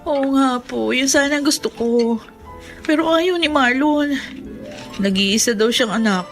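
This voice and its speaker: Radio drama young wife, Filipino, high-pitched, weary and aggrieved. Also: female voice, a little slow, soft background music. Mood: distressed, complaining. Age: young adult. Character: weary, sulking young married daughter. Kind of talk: Tagalog radio drama acting.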